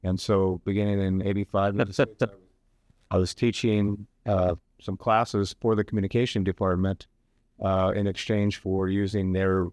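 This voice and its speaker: A man's voice: sits low, flat delivery, normal speed, darkish tone, no echo. A man in his sixties, a professor from Hawaii, talking through his career on a radio interview with many fillers and restarts. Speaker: professor and guest.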